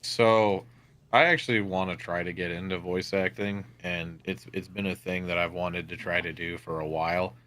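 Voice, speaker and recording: A man's voice: low-pitched, steady tone, normal speed, fairly bright tone, slight background noise. A young man chatting casually and swearing freely among tabletop players, rambling through a story. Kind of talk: casual chat at a tabletop game.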